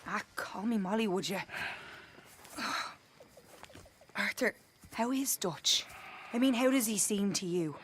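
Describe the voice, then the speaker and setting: Brief: actress as an anxious, wistful woman, unhurried and halting. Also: medium pitch, slight background noise, natural voice. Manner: hesitant, wistful. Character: anxious lovelorn woman in an outlaw camp. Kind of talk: video game cutscene acting.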